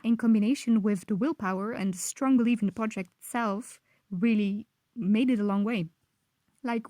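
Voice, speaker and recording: A woman's voice: mid-range voice, darkish tone, no echo. A woman, giving prepared interview answers as a podcast maker. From Belgium. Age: 20s.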